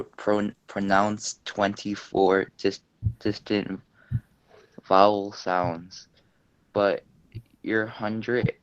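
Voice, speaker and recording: A low-key man of medium-low pitch reads a play part aloud slowly and haltingly. His intonation stays fairly even, and the sound is dry and close. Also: clear, resonant tone, dry recording, low-quality recording. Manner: halting, stumbles over words. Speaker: participant reading a part in a play. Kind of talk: reading a play script aloud.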